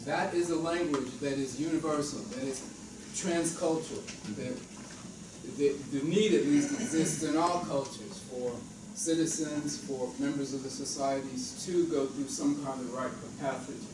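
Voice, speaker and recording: A man's voice: a little high, fairly even intonation, moderate pace. A man in the audience offers a long comment, thinking aloud and restarting some phrases. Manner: thoughtful, restarts his phrasing. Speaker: audience member making a comment. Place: lecture hall. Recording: echoey hall, voice from far off, clear background noise.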